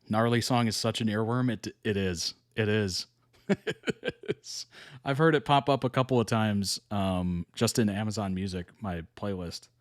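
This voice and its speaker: A man's voice: lowish voice, dry recording, clean studio sound. A man hosting a reaction video, chatting casually and thinking aloud with frequent laughs. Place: hot indoor room.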